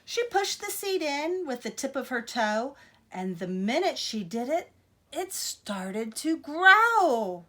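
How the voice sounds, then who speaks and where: Animated, high-voiced woman reading to children. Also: slow pace. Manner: expressive, teacherly, addressing young listeners. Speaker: storyteller reading a picture book to children. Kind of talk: animated children's read-aloud with asides.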